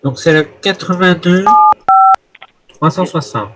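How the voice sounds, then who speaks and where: A man's voice: sits high, slow pace. A man making an improvised phone call as a hotel guest, speaking in a hesitant, rambling way. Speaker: prank caller posing as a hotel guest. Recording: noisy phone call with clicks.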